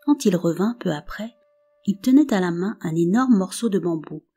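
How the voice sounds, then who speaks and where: A woman narrating a folk tale in a calm, measured storybook reading with soft phrase endings. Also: lowish voice, husky. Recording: narration over faint background music.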